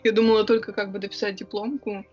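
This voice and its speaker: A woman's voice: mid-range voice, level tone, a little mellow, muffled recording, faint music behind. Chatty young woman, lots of hesitations. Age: young adult. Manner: chatty and quick, with many hesitation sounds. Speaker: interview guest, writer and club organizer. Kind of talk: casual conversational interview.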